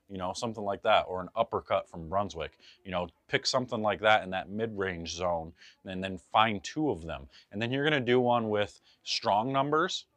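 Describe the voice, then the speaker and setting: A man with a low, deep voice, chatting loosely to camera in a bowling pro shop. He often corrects himself mid-sentence and throws in jokey asides. The sound is close and dry. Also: a bit bright, no echo. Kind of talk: casual advice vlog to camera. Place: bowling pro shop in front of a ball wall.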